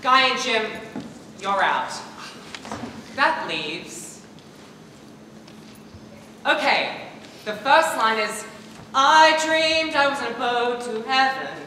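A young man on stage playing an earnest, encouraging male drama teacher in a high school play, recorded from the audience. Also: expressive, takes it slowly, pauses often, exaggerated voice. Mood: directing the group. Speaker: high school student actor. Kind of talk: amateur stage play acting. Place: theater stage in large auditorium. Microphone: far camera in audience. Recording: echoey auditorium, distant camera, loud room noise.

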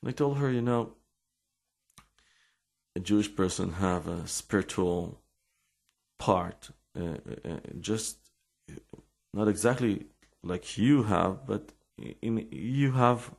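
Slow, gravelly Israeli man. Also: fairly low pitch, darkish tone. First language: Hebrew. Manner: halting, many restarts and fillers. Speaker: rabbi telling his life story. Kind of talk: personal storytelling and explanation.

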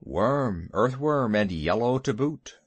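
A man voicing Bagheera, a proud male panther, speaking gravely in old-fashioned formal language. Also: medium pitch, animated, takes it slowly, no room sound, heavily acted.

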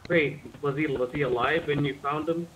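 A man's voice: fairly high pitch, fairly even intonation, moderate pace, fairly bright tone. A man improvising as a medic in an online roleplay game, talking casually and wryly over voice chat. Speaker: roleplay gamer playing a medic. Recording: noisy gaming voice chat.

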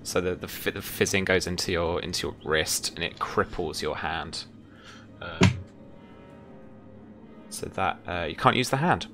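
A young man running a tabletop roleplaying game, narrating and talking through rules casually in a halting, repetitive way. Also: low voice, music in the background. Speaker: game master. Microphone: headset or desk mic, seated indoors on a video call.

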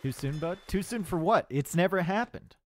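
A man's voice: high-pitched, moderate pace. A man with a Canadian accent giving chatty, joking live commentary on an esports match, with dry sarcasm. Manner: loud excited shouting in tense moments. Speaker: esports caster. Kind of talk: live game commentary with chat banter.

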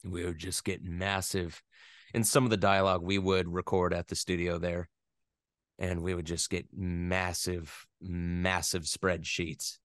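A young American man from the West Coast talking about his work in a casual interview, rambling and animated, often laughing at himself. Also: low-pitched, measured pace, no room sound, clean studio sound. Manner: rambling, animated, self-deprecating. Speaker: voice actor guest.

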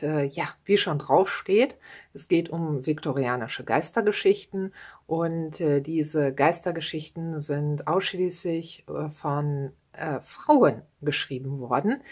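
A woman in her thirties chats about her reading pile in a room at home. She talks slowly in a lowish voice. She fills her sentences with hesitations and tag questions and laughs at herself now and then. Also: a little mellow. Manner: chatty, many fillers and tag questions, self-deprecating laughs. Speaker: book vlogger. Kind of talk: casual book chat. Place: home room with bookshelves, indoors.

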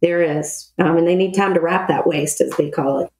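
A woman's voice: fairly low pitch, moderate pace, darkish tone. A middle-aged woman explaining procedures in an interview, matter-of-fact, as a retired military officer would. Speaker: podcast guest, retired Navy air traffic control officer. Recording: roomy, echoey voice recording.

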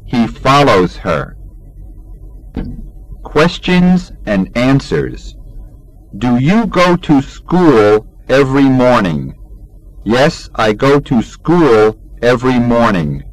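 Very slow-paced man reading lessons. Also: mid-range voice, dry recording, low-quality recording. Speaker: English teacher reading a textbook aloud.

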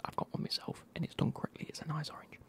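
A man, reviewing football kits in a soft, casual whisper with wry asides. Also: racing.

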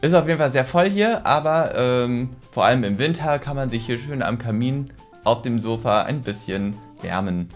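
A man's voice: mid-range voice, expressive, normal speed. A young man giving a casual, playful tour of a game as a video host, speaking into a close desk microphone indoors. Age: young adult. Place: small room at home, indoors. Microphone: desk microphone on boom arm, close. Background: background music.

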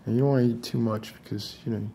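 A man in his forties playing an unstable post-apocalyptic survivor in an ASMR roleplay, coaxing softly in halting, rambling phrases. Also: medium pitch, fairly even intonation, vocal fry, slight background noise, close to an everyday voice.

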